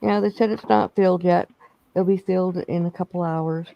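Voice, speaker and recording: A man's voice: sits high, fairly even intonation, moderate pace, gravelly, no room sound, lo-fi sound. A man chatting casually on a bingo stream, rambling through stories with restarts. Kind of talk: casual chat.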